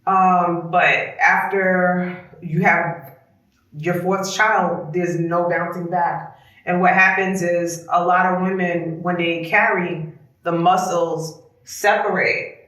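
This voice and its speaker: A woman's voice: fairly low pitch, fairly even intonation, slow, a bit bright. A woman born in Trinidad, a spa co-owner, chatting frankly and reflectively in a casual interview. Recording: echoey room.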